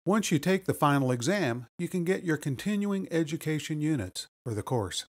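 A man reading scripted instructional narration as a course instructor, explaining in a measured way. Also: a little high, lively intonation.

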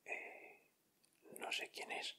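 A man with an accent from Spain whispering softly in casual ASMR commentary, murmuring short, unhurried remarks close to the microphone. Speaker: ASMR video host. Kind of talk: whispered ASMR, casual commentary while opening sticker packs.

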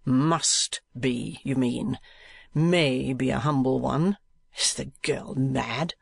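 A man's voice: medium pitch, slow, gravelly, husky, no room sound, heavily acted. A man voicing a stern, impatient uncle in a storybook reading, curt and sarcastic in manner. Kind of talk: audiobook character voice.

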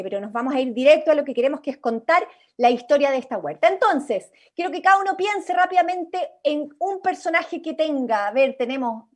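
High-voiced young Chilean woman leading a workshop. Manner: encouraging, informal. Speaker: workshop host. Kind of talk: interactive online creative workshop. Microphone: video call.

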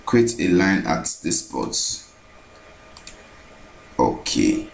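A man narrating a screen-recorded software tutorial, thinking aloud as he repeats each step. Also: low voice, big pitch swings, very slow, creaky, echoey room.

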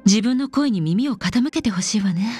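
An actress voicing a gentle, caring, mature woman in a game, soothing and concerned. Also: medium pitch, lively intonation, normal speed, natural voice. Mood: concerned, tender. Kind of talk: scripted game character voice lines.

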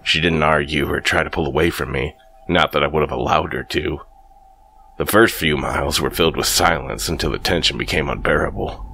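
A man narrating a first-person horror story in a slow, brooding scripted read. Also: a bass voice, gravelly, bright, forceful tone, slight background noise.

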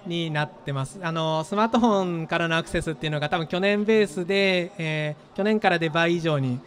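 A man in his thirties, a startup founder being interviewed on an expo stage, talking quickly and thoughtfully with many fillers into a handheld mic. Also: fairly high pitch. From Japan, Gifu. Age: 30s.

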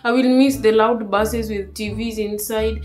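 A young Kenyan woman, a native Swahili speaker, talks in a fairly high voice. She sounds plaintive and casual, as on a personal call, with music behind her. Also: fairly even intonation, unhurried, darkish tone. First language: Swahili and a mother tongue. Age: young adult. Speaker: vlogger playing a prank on her partner. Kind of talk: casual relationship phone chat. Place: small living room, seated on a sofa indoors.

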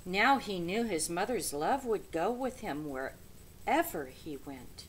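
A mature woman narrates a picture book for children. Her delivery is slow and deliberate, with big pitch swings. It is a home webcam recording with faint noise. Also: rough recording. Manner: expressive, deliberate. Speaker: storybook reader. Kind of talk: children's read-aloud narration. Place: small indoor room with bookshelf.

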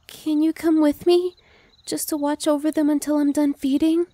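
A young woman voicing a gentle female vampire who speaks softly and slowly, sometimes whispering. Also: high voice, flat delivery, no room sound, lightly acted. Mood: earnest. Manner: soft, slow and reassuring, dropping to whispers. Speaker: voice actress. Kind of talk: ASMR roleplay.

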